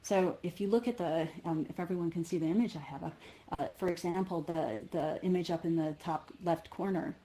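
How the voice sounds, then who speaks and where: A woman's voice: fairly low pitch, fairly quick, mellow, slight background noise, rough recording. A woman giving a conference keynote with personal anecdotes, thinking aloud and often rephrasing as she goes. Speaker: keynote speaker.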